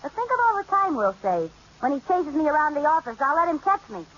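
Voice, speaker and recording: An actress playing a chirpy, scatterbrained young housewife in a 1940s radio comedy. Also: female voice, high-pitched, big pitch swings, quick pace, fairly bright tone, faint music behind. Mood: eager. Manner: chirpy, flustered, wisecracking. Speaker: wife in a radio sitcom. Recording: dull lo-fi old radio recording with faint hiss.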